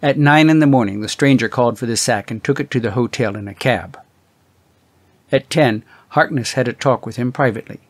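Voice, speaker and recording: Man, audiobook narrator, scripted literary reading, medium pitch, dry sound. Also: no echo.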